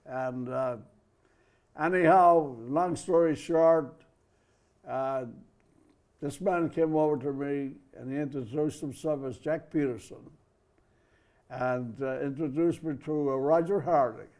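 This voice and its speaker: An older man with an Irish accent, a guest in a TV studio telling lively anecdotes and acting out the voices of people he quotes. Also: on the high side, takes it slowly, long pauses. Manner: lively storyteller who acts out quoted voices. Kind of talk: anecdotal storytelling.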